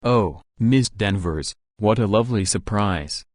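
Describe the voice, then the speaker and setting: A man's voice: fairly low pitch, expressive, unhurried, no room sound, clean studio sound. A man playing a cheeky but kind rich schoolboy in a visual novel, with playful, mock-formal teasing. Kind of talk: visual novel character dialogue.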